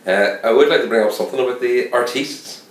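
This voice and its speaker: A man co-hosting a casual film podcast with dry jokes. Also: fast. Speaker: podcast co-host. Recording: echoey room, distant, faint rumble.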